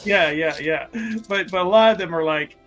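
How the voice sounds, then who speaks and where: Higher-voiced, jokey male game player. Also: moderate pace, over loud music. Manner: jokey, repeats himself. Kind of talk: casual game table chat.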